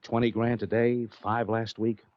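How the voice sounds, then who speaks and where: A man's voice: mid-range voice, level tone, normal speed, dry recording, lightly acted. A male American actor playing a worried, plain-spoken betting-office boss in 1940s radio drama, slangy and emphatic. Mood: frustrated. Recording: dull, dry old radio recording.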